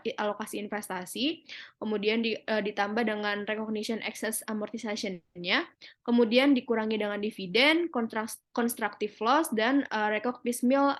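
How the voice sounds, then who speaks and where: A young woman giving an explanatory class presentation as a student, halting with frequent hesitation pauses. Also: on the high side. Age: young adult.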